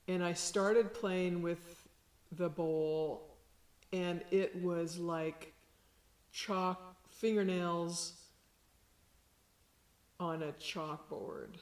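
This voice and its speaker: A woman with a low voice, a sound healer giving a gentle, unhurried lesson. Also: echoey room. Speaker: shamanic sound healer teaching. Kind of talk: slow calm instructional talk.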